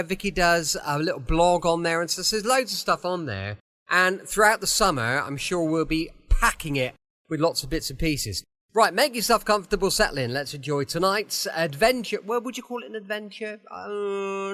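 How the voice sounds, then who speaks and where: A man hosts a podcast in a chatty style. His voice is high and bright, with very lively pitch as he talks informally to listeners. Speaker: podcast host. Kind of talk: relaxed chatty podcast introduction.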